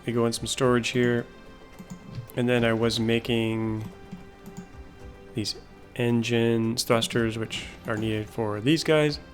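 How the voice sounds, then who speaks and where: A man narrating his own play session in a relaxed, musing way, his voice a bit worn from talking all day. His pitch sits in an ordinary middle range. Soft game music and keyboard clicks play faintly behind him. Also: slow pace. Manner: musing, thinking aloud while playing. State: losing his voice. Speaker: gameplay video host. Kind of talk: casual let's-play commentary.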